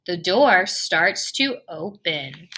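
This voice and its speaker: A woman reading a children's picture book aloud, expressive and playful with the sound words. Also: fairly low pitch, very slow. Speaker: storybook reader.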